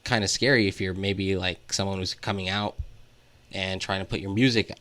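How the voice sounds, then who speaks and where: A man's voice: low-pitched, steady tone, normal speed, fairly bright tone. A man co-hosting a podcast, talking casually and rambling through his thoughts with lots of "like" as filler. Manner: rambling, hesitant, heavy use of "like". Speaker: podcast co-host. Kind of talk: casual music discussion.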